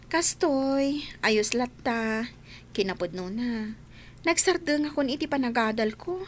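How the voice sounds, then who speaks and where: A woman's voice: a little high, animated, a little slow. An actress playing an earnest, dutiful young village woman in a radio drama, speaking reassuringly. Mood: regretful. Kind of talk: Ilocano radio drama acting. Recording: faint noise and ambience behind.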